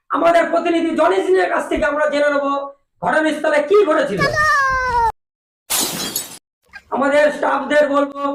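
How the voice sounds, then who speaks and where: A man voicing a loud, melodramatic, sensationalist TV news anchor in a comedy cartoon. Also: very high-pitched, far from the mic. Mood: urgent. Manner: shouty, melodramatic announcing. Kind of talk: parody breaking-news delivery in a comedy cartoon. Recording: roomy echo, faint noise, off-mic.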